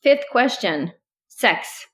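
A woman in her thirties from Northern Norway, speaking English with a Northern Norwegian accent as a podcast co-host, relaxed and laughing often. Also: fairly high pitch, drawn-out words. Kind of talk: casual chat.

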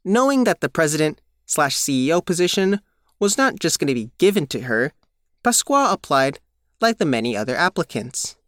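A man's voice: a little high, big pitch swings, moderate pace, fairly bright tone, no room sound, studio quality. A man narrating a scripted news feature as a reporter.

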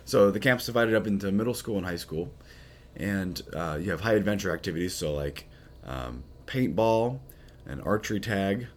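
A man with a very low voice, hoarse and raspy. He talks at an easy, rambling pace as a priest chatting on his podcast. His speech is full of casual fillers. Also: normal speed, a little hiss or hum. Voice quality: low, husky. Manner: relaxed, rambling with many fillers. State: raspy, recovering voice. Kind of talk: casual chat.

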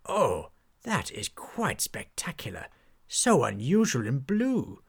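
A man's voice: medium pitch, wildly swooping pitch, slow, creaky, husky, pitched above the performer's own voice, full character voice. A man voicing a pompous, cheerful knight with a genteel, fussy manner in a storybook tale. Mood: delighted. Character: pompous, cheerful male knight, fond of flowers.

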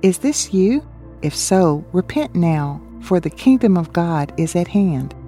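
A woman's voice: fairly low pitch, animated, a little slow, dark tone, soft background music. A woman presenting a scripted radio Bible study, reading scripture and solemnly questioning the listener. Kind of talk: scripted religious narration.

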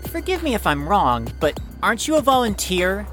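An actress in an audio drama playing an indignant, pedantic student with a pointed, formal way of talking. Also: female voice, medium pitch, sing-song, moderate pace, fairly bright tone, faint music behind. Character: indignant, pedantic student challenging the lesson leader.

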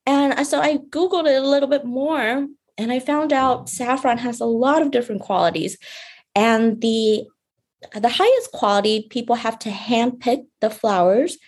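Young woman from Vietnam, beauty founder; soft, unhurried guest storytelling with small laughs. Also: a little high, husky. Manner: soft-spoken, fillers and restarts, light laughs. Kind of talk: casual interview talk.

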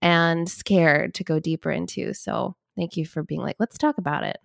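A woman hosting a podcast, asking her guest gentle questions and often saying "like". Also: fairly low pitch, fairly even intonation, moderate pace, creaky, no echo, clean studio sound. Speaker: podcast host. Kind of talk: podcast interview.

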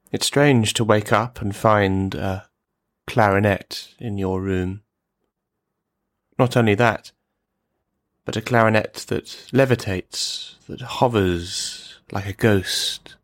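A man playing a lonely, menacing misogynist in a radio play monologue, speaking in formal, mannered, halting phrases. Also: lowish voice, fairly even intonation, no room sound, studio quality. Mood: uneasy, suspicious.

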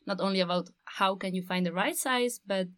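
A woman's voice: mid-range voice, a little fast, fairly bright tone, no echo. A young woman from the Basque Country, a design master's student, speaking fluently in long flowing sentences in a casual chat.

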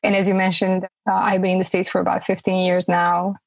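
A woman's voice: fairly low pitch, completely flat delivery, a little fast, vocal fry, no echo, low-quality recording. A middle-aged woman from Romania, answering conversationally as a podcast guest.

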